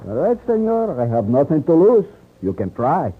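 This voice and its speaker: An actor playing a proud, weary revolutionary Mexican general, speaking formally with a Mexican Spanish accent in an old radio western. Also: male voice, a little high, big pitch swings, moderate pace, husky. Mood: resigned. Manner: formal, dramatic delivery. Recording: tinny, narrow old radio recording.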